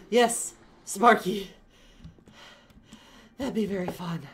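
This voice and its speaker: A man's voice: high-pitched, very slow, fairly bright tone, a little hiss or hum. A young man streaming a game as a VTuber, chatting casually with his chat and laughing often. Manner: chatty, giggly, talks to chat. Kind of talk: casual gameplay livestream chat.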